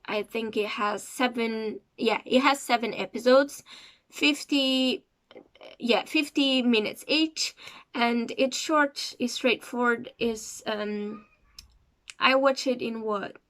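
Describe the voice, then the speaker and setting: Slow, hesitant woman. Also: on the high side, fairly even intonation, fairly bright tone. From Cape Verde. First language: Portuguese. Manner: hesitant, searching for words as she goes. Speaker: series reviewer. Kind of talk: casual review vlog.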